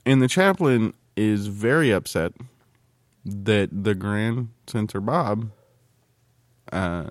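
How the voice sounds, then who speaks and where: A male podcast host with a fairly low voice, recorded close and dry with little room sound. He banters casually, teasing his companions and playing the aggrieved party. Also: animated, slow pace, no echo.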